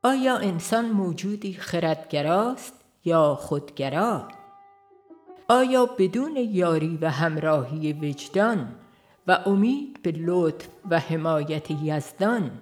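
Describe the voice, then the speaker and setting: Woman, low voice, audiobook narration, measured and earnest, soft music underneath. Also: lively intonation. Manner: measured, earnest reading with rhetorical questions. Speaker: audiobook reader. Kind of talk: scripted nonfiction narration.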